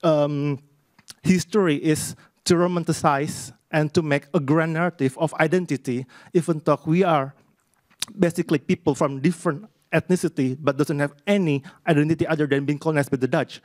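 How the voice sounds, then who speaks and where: A man from Indonesia speaks from the floor in measured, articulate English. His voice sits a bit high for a man, and the sound is close and dry. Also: fairly even intonation, darkish tone, dry recording. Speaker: audience member asking a question. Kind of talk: prepared question from the floor.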